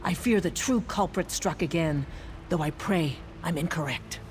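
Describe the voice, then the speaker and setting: Woman acting a low-voiced, grave town official. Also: slight background noise, close to an everyday voice. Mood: grave, formal. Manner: measured, formal, stern. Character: formal, grave female town official, guarded. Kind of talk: fantasy video game voice acting.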